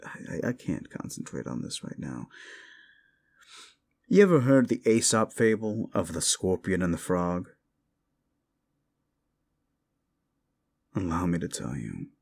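A man's voice: sits low, big pitch swings, moderate pace, vocal fry, a little mellow, full character voice. A man playing a cruel, condescending demon in an audio roleplay, talking down to the listener with mocking, theatrical delivery. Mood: menacing, scornful. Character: condescending, cruel male demon summoned as a date.

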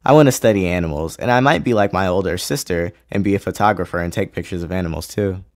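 A man's voice: deep voice, fast talker, no room sound. Dry, close-sounding teenage boy. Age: teens. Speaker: student interviewee. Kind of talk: casual interview answers.

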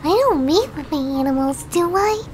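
A woman voicing a timid, gentle young female pegasus, quiet and hesitant. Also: high voice, expressive, normal speed, soft background music, voice pushed up. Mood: timid, upset.

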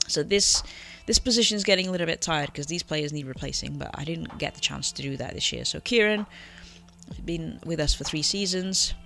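A man with a cold talks his way through a football management game in a chatty, rambling way, full of fillers and quick self-corrections. His voice is bright and gravelly, a little high for a man. Faint music plays underneath. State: has a cold. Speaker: game channel host. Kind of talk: casual gameplay commentary. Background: faint background music.

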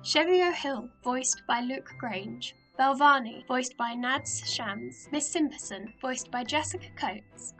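Woman narrating a radio play, pretty high voice, bit of music under it. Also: slow pace, few pauses, a bit bright.

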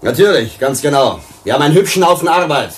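Defiant workers' delegate for a radio play: higher-pitched, quick, insistent. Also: male voice, sing-song. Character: defiant working man, strike delegate. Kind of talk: German radio play acting.

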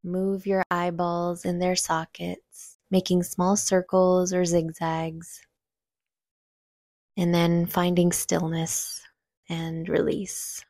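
A woman leading a guided meditation, giving gentle, unhurried instructions with long pauses between phrases. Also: fairly low pitch, completely flat delivery, no echo, studio quality.

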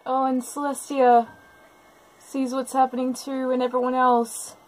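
A woman's voice: high voice, flat delivery, a little slow, low-quality recording. A woman giving live, unscripted reaction commentary, exclaiming and gushing as she narrates what is happening. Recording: music playing behind the commentary.

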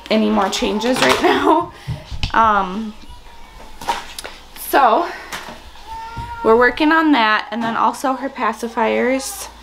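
A woman in her thirties with a raspy morning voice, chatting casually to a handheld camera as she vlogs her day at home. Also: a little high, low-quality recording. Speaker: family vlogger and mother. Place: rooms at home, indoors. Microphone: camera at arm's length, sometimes set down.

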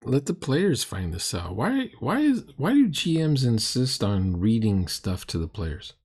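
A man's voice: mid-range voice, wildly swooping pitch, measured pace, a little mellow, slightly rough. A middle-aged man giving casual, critical commentary on a game recording, exasperated, mocking and emphatic. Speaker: host reacting to a game recording. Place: dim room indoors.

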